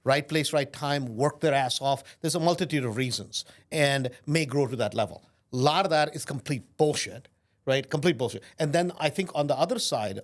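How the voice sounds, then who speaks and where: A man hosting an interview podcast, asking questions in a blunt, lively way and often checking with "right?". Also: mid-range voice. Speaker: podcast host. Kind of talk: conversational interview.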